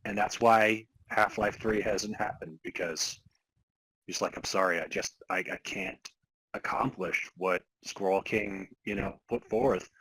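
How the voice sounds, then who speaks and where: A man's voice: fairly low pitch, fairly even intonation, a little slow, a bit bright, husky, low-quality recording. A man co-hosting a podcast in casual comic banter, joking with a dry, mock-serious manner. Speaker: podcast co-host.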